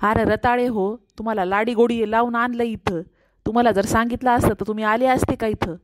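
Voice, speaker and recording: A woman with a rural Marathi accent voicing a bossy, scolding male stunt-show host in a comedy cartoon, with gruff, mocking banter. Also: fairly high pitch, steady tone, brisk, no room sound, natural voice.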